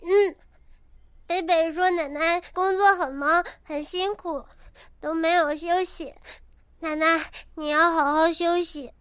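A tiny girl's voice for an audio drama, extremely high and bright. The child is cute, polite and affectionate, speaking in simple, eager phrases. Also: fairly even intonation, slow pace, exaggerated voice. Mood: polite, caring. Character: sweet, polite little girl, eager and affectionate. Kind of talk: modern Chinese audio drama acting.

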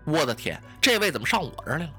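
Storyteller doing anxious innkeeper, bright, eager to please. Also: medium pitch, very animated, a little fast, soft background music. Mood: flustered. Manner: nervous, overly polite. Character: flustered male innkeeper, fawning.

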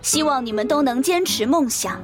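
An encouraging teacher acted by a woman addresses a class in a fairly high voice. It is radio drama acting, with guitar music clearly underneath. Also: expressive, moderate pace. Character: encouraging female schoolteacher welcoming new students.

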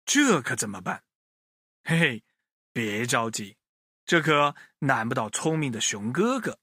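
A man telling a children's story in an expressive way, speaking directly to his young listeners. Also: medium pitch, a little slow, breathy edge, no room sound, studio quality.

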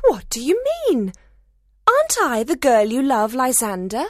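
A man's voice: very high voice, wildly swooping pitch, unhurried, a bit bright, pitched above the performer's own voice, exaggerated voice. A man voicing a distraught, emphatic young woman in love in a dramatized storybook reading. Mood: bewildered hurt. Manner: emphatic, pleading, indignant.

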